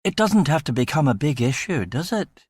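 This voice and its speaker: Man playing a fretful young Scottish police chief, pitched up. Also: sing-song, measured pace, no room sound. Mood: anxious, hushed. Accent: Edinburgh Scottish. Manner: anxious, flustered, hesitant.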